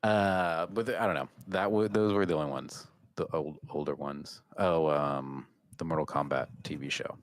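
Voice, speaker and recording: A man's voice: extremely low pitch, steady tone, moderate pace, few pauses, dry recording, clean studio sound. A man, chatting casually as a podcast co-host in a laid-back, matter-of-fact way. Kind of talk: casual chat.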